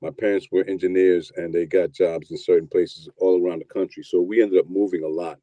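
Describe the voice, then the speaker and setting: Low-voiced laid-back New York man. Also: brisk, dull audio. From New York, USA. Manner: relaxed, rambling, repeats words at starts. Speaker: guest, producer and DJ. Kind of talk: casual interview storytelling.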